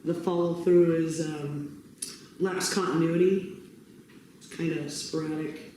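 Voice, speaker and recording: Measured woman speaker, mellow and monotone, in an echoey hall with faint noise. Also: low-pitched. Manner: measured, hesitant with fillers. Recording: echoey room, background noise.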